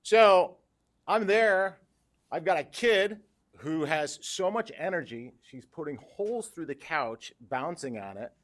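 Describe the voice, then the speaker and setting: A man in his fifties from Western New York, a parent making a loud, animated public comment at a school board meeting, standing back from the podium mic. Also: a little high, unhurried. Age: 50s. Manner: loud, animated, numbers-driven speech. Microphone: podium mic, stepped back from it.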